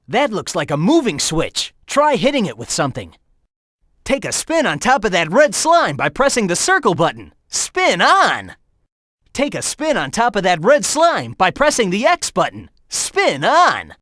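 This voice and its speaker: A man's voice: high-pitched, a bit bright, dry recording, studio quality, heavily acted. An actor voicing a cocky, upbeat young male hedgehog hero in a video game, giving breezy, encouraging hints.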